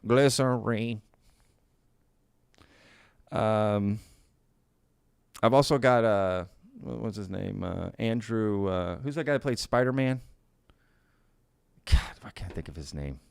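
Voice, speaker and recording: Casting note: relaxed male stream host, low-pitched and a bit gravelly, folksy y'all-heavy chat, close dry mic. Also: takes it slowly, long pauses, dry recording. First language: bilingual Spanish and English. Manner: laid-back, folksy, says y'all often. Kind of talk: casual live Q&A, reading chat comments aloud.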